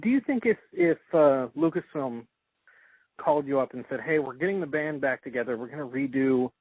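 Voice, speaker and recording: A man interviewing casually, asking his questions in a halting, hesitant way over a call. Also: mid-range voice, animated, slightly rough, no echo. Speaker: interviewer, podcast host. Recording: tinny narrowband phone-like sound.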